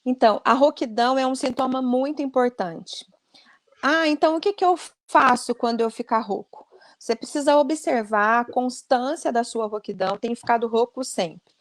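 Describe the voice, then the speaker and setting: A woman with a high voice talks through voice care in an earnest, explanatory way. She speaks over a video call, and the sound is very dry. She often ends sentences with "né?" as she goes. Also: normal speed, dry recording. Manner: earnest and explanatory, many "né?" tags. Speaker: speech therapist guest.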